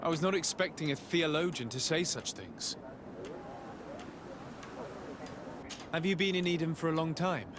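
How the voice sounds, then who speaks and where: An actor playing a polite, composed noble envoy in a video game, formal, courteous and inquiring. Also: male voice, a little high, expressive, brisk, background noise, close to an everyday voice. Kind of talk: video game cutscene acting.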